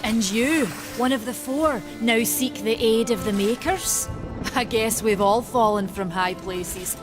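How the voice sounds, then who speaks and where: A woman's voice: on the high side, measured pace, music in the background, natural voice. A woman playing a hearty, proud giant blacksmith in a fantasy game, with a bold, plain-spoken manner. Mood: proud, wry. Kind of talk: dark fantasy game acting.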